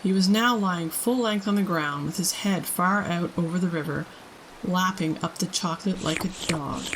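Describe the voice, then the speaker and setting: A woman hosting a storytime, reading a children's book aloud in an expressive narrating style. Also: fairly low pitch, normal speed, slight background noise, rough recording. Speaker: storytime host and reader.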